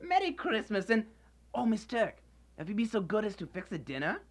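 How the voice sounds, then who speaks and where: A woman playing an old male miser reborn as a giddy, generous soul in a radio drama, exclaiming and repeating phrases excitedly. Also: medium pitch, moderate pace. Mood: jubilant, excited.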